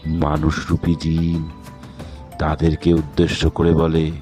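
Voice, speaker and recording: A man narrating a cartoon ghost story in a steady, measured way. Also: rumbling low, music in the background.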